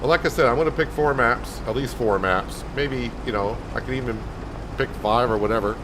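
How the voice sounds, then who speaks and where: A man calmly talking viewers through a farming game on a livestream, in a soothing, unhurried way. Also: mid-range voice, noisy background. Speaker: game streamer. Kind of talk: casual gameplay commentary.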